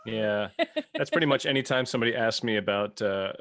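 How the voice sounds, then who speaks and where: Very deep-voiced, wry woman chatting. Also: fairly quick, a bit bright. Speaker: podcast co-host. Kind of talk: casual chat.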